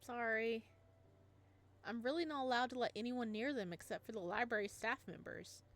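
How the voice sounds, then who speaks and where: A woman's voice: a little high, normal speed, lightly acted. A woman voicing a polite, cheerful female school librarian in a visual novel, friendly and matter-of-fact.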